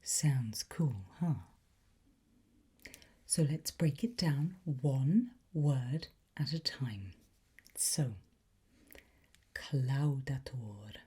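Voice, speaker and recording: A woman acts out a female teacher of the dark arts in an ASMR lesson. Her voice is a low, very breathy whisper, dark and soft. She takes her time, teasing and gently encouraging her pupils like a conspirator. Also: exaggerated voice. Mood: hushed, playful, intrigued. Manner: slow, deliberate, teasing, softly encouraging. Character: demonology teacher, playfully conspiratorial woman giving a Latin lesson. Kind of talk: ASMR roleplay.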